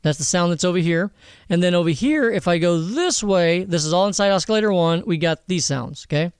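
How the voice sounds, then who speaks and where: A man hosting a casual software tutorial in an informal, slangy way, swearing now and then. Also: sits high, animated, brisk, smooth, no echo, clean studio sound. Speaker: tutorial host.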